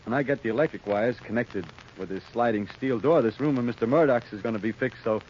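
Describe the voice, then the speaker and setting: A dull, faintly noisy 1930s radio drama recording features a man acting a naive American electrician. He has a medium-pitched voice and a folksy, hesitant, eager-to-please way of talking. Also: fast, a little mellow, close to an everyday voice. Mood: friendly, curious.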